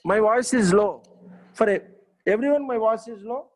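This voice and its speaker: A man's voice: sits high, moderate pace, darkish tone. A man, teaching maths in a live online class. He repeats himself, checks on his students often and praises their answers.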